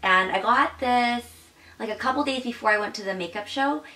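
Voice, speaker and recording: A woman's voice: a little high, moderate pace, bright, forceful tone, recorded from a distance. Young woman beauty vlogger, bubbly and gushy, roomy home recording, set back from the mic. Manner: bubbly and gushing, demonstrating makeup while talking. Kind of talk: casual product review. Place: home makeup room indoors.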